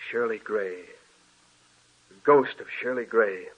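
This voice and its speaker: An actor playing a sleepless, haunted husband, curt and agitated, in 1940s radio acting style. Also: male voice, on the low side, takes it slowly, breathy edge. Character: haunted man, tense, paranoid, sleepless. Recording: tinny old radio recording.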